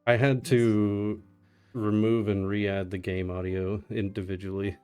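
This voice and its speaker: A man's voice: lowish voice, flat delivery, measured pace, darkish tone, clear, resonant tone, soft background music. A man giving casual live commentary as he speedruns a video game on a livestream.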